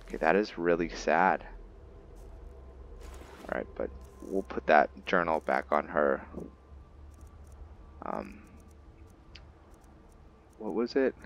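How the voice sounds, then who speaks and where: A man's voice: rumbling low, big pitch swings, slow, creaky, pauses often, a little hiss or hum. A man narrating his video game roleplay casually, thinking aloud in fragments. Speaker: gaming video host roleplaying a character.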